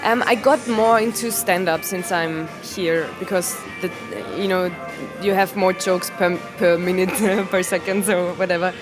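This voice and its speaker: A woman's voice: fairly low pitch, steady tone, music in the background. Austrian woman comedian, laughing often. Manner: laughs often, halting non-native phrasing. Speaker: stand-up comedian, interview guest. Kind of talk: casual interview answers. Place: music venue.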